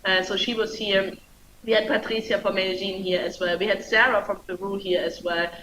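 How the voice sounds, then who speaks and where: A woman's voice: medium pitch, steady tone, brisk, fairly bright tone, slight background noise, rough recording. A woman hosting a live show in a chatty, loose way, full of fillers. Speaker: show host.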